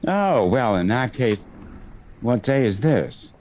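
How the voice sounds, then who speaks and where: A man's voice: mid-range voice, sing-song, slow pace, darkish tone. A man playing a sarcastic, fussy store clerk in old-time radio comedy, arch and mock-polite. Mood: dry, snide.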